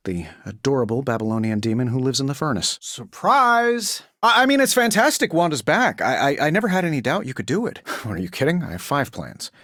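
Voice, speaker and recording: An actor in a video game playing a cocky, quick-witted billionaire inventor superhero with a sardonic, glib, conversational delivery. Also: male voice, mid-range voice, fairly bright tone, no echo, studio quality. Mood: glib, self-assured.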